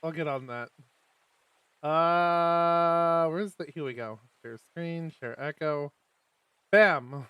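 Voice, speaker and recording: Bright-voiced man hosting a game stream. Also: on the high side, slow, smooth, no room sound. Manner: casual, self-deprecating, rambling asides. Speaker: streamer host. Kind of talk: live let's play, reading game text aloud.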